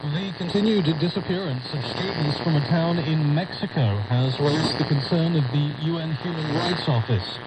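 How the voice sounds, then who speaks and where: A man's voice: a little high, normal speed, hardly any pauses. A man reading a scripted radio news bulletin as the newsreader. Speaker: news reader. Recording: very noisy shortwave radio broadcast.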